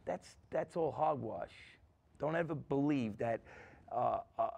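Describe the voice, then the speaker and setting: A man's voice: medium pitch, measured pace, gravelly, darkish tone. A middle-aged man, a guest musician rambling through stories in casual chat with many restarts.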